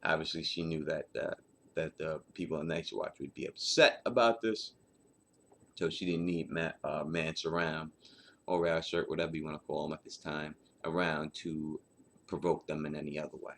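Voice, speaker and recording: A man's voice: a bass voice, steady tone, normal speed, a bit bright. A man giving casual commentary on a book as host, in an informal, slangy way that circles back over points. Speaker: host breaking down a fantasy book chapter.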